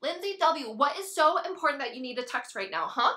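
A woman performing a dramatic monologue as a volatile, self-pitying, jilted young woman, swinging between breathy whimpers and loud outbursts. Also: very high voice, sing-song, slow pace, full character voice. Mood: suspicious, accusing.

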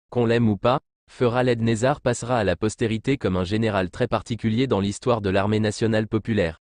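A man's voice: lowish voice, a little mellow, dry recording, clean studio sound. A man narrating a scripted news report in a formal, literary, read-aloud manner.

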